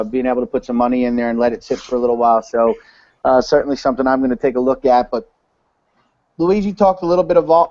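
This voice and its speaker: Mellow-voiced man on a lo-fi call. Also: medium pitch. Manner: rambling, many fillers and restarts. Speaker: webinar presenter. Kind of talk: casual webinar talk. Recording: dull lo-fi online call audio.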